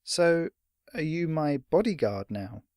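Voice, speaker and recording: A man's voice: a little high, sing-song, slow pace, a little mellow, no echo, studio quality, pitched above the performer's own voice. A man voicing an eager but timid young boy who speaks hesitantly and politely.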